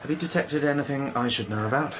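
A man's voice: medium pitch, fast, noisy background, close to an everyday voice. An actor playing a self-assured former diplomat in a radio drama, direct and prickly in his questioning. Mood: probing.

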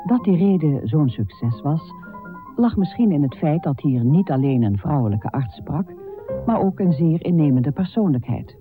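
Male documentary narrator, scripted, slightly high voice, mellow, formal, measured, faint music. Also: very animated.

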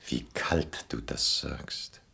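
An actor playing a weary, middle-aged shipwrecked hero in a German radio play, brooding, resigned and melancholy. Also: male voice, sharp tone. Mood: wounded, doubting. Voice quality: very deep, husky. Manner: slow, heavy, brooding delivery.

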